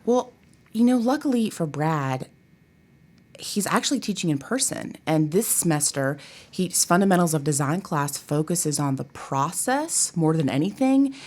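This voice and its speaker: A middle-aged woman from Tennessee with a fairly low voice talks as a guest on an interview show. She is chatty and expressive, dropping informal asides into a casual, conversational delivery. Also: normal speed. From Tennessee, United States. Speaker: guest, theater professor. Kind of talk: casual chat.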